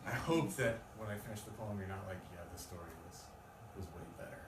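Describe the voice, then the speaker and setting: A male poet speaks at a live reading in a room. His voice is picked up from a distance with plenty of echo. There is clear, loud noise over the recording. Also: on the low side, a little fast. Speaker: poet reading own work. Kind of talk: live poetry reading with casual introduction. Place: live event in a room with an audience.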